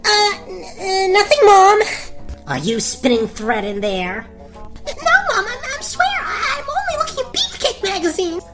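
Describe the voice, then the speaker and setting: A woman's voice: extremely high pitch, very animated, unhurried, music in the background, exaggerated voice. A woman playing a spirited, whiny young princess in a comic audio fairy tale, delivered with petulant melodrama. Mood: flustered, secretive.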